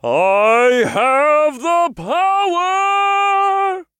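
A man's voice: medium pitch, sing-song, unhurried, dry recording, pitched above the performer's own voice. A man voicing a brash, theatrical, self-important imp in an audiobook story. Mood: bombastic. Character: cocky, slangy male imp, self-important sidekick.

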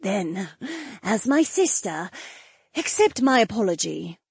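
A woman playing a gentle, earnest, formal noblewoman with a breathy voice in a fantasy audiobook. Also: mid-range voice, big pitch swings, takes it slowly, no echo, exaggerated voice. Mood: earnest, remorseful. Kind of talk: fantasy audiobook character acting.

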